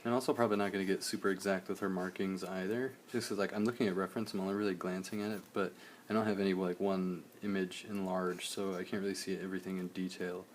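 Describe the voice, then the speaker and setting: A young man, slightly hoarse, chatting casually in a relaxed, rambling way while he streams a drawing session. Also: low voice, fast talker, a little hiss or hum. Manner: relaxed, rambling, many fillers.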